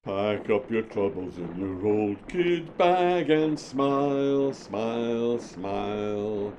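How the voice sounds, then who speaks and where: A man's voice: fairly low pitch, expressive, slow pace, a little hiss or hum. Male actors playing soldiers singing a marching song to keep their spirits up. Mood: cheery singing. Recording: distant, echoey, dull, loud crowd.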